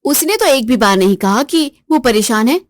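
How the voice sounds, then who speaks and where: A woman voicing a boastful, sharp-tongued young wife in a spoken story, talking in a taunting, frazzled way. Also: sing-song, moderate pace, no room sound, studio quality. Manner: taunting, then shrill and frazzled.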